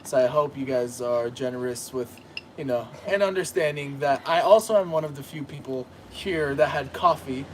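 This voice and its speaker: Chill joking guy from LA on a balcony, loud traffic going by. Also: medium pitch, normal speed. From Los Angeles. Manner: relaxed, joking. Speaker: guest. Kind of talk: casual livestream chat. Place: apartment balcony by a busy street. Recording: outdoor street noise. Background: street traffic.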